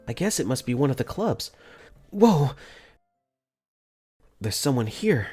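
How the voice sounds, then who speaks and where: Expressive young man narrating a visual novel. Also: medium pitch, unhurried, slightly rough, faint music behind. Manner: earnest, flustered, expressive. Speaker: narrator and lead in fan dub.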